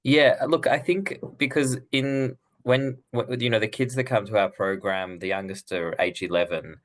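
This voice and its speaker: A man's voice: mid-range voice, steady tone, normal speed. A middle-aged man, born abroad and raised elsewhere, speaking earnestly as an author in an interview, with frequent restarts. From Israel, raised in Australia. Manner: earnest, thoughtful, many restarts. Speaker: author and guest. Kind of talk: conversational interview.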